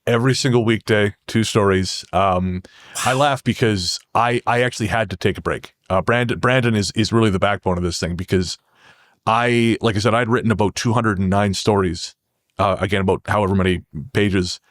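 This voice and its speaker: Low-voiced man in a dry recording. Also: steady tone, fairly quick, no echo. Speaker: podcast guest, horror fiction writer. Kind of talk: conversational interview talk.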